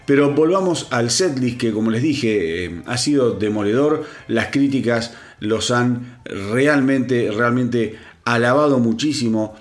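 A man hosting a rock news podcast, congested and hoarse, talking casually with lots of fillers and restarts. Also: medium pitch, animated, measured pace, few pauses. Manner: chatty, with many fillers and restarts. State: congested, sore throat. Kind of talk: solo news commentary.

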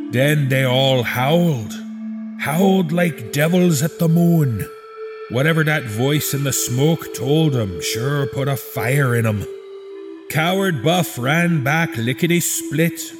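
A man's voice: medium pitch, lightly acted. A man voicing a crude, callous frontiersman reading his journal aloud in a folksy backwoods drawl with coarse grammar. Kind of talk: read-aloud journal entry in a horror story.

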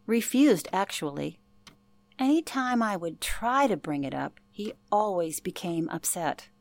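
A woman playing a gracious elderly lady in a radio play, speaking politely and gently as she looks back. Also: lowish voice, expressive, unhurried, gravelly, no echo. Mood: wistful. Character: gracious elderly woman reminiscing about a night in 1938.

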